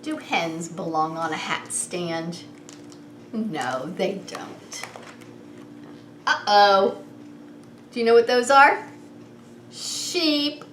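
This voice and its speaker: A woman's voice: medium pitch, expressive, drawn-out words, long pauses, a bit bright. A woman preschool teacher hosting story time, talking straight to young children with simple questions in a playful, singsong way. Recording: roomy echo, clear background noise.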